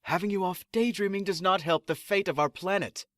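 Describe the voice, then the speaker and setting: A man's voice: sits high, fairly quick, fairly bright tone, no echo, clean studio sound. A man playing an exasperated, officious assistant in a sci-fi comedy audio drama, formal, sarcastic and condescending. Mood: irritated, sarcastic. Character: exasperated, officious male assistant prepping space recruits.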